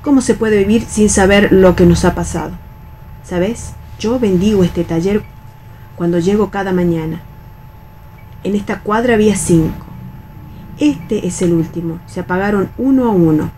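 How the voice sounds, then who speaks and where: A woman's voice: mid-range voice, normal speed, mellow, close to an everyday voice, poor audio. A veteran factory woman, played by an actress in an Argentine radio drama. She speaks colloquially in a middle register, resigned and admonishing, as if used to putting up with everything. Some noise sits behind the voice. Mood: weary, resigned. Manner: colloquial, admonishing, world-weary. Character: resigned, bossy veteran female factory worker, weary and cautious. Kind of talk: radio read theatre.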